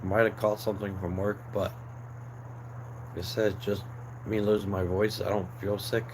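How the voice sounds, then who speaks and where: A young man with a lowish voice and a hoarse, fading throat chats on a home livestream, wandering from thought to thought with lots of ums and restarts. A steady hum of background noise sits clearly under him. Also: normal speed. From New Jersey, USA. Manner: rambling, relaxed, many fillers and restarts. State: losing his voice. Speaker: livestream host. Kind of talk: casual live chat.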